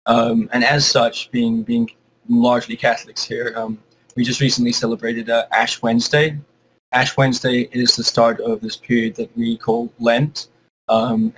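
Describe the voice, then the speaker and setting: A teenage boy, a high school senior, speaking haltingly with many fillers and repeated words in a video conference presentation. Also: slight background noise, low-quality recording. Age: teens. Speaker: student speaker.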